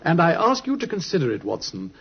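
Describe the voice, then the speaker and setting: A brisk genial british gentleman detective, highish husky voice, swoops around, muffled old radio drama. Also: exaggerated voice. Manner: animated, precise, urbane storytelling.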